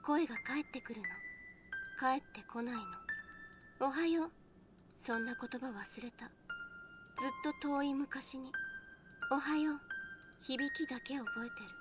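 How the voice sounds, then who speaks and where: A woman playing a pensive, solitary young heroine in a Japanese visual novel, speaking in a measured, wistful way. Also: sits high, lightly acted. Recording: loud music under the voice. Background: loud background music.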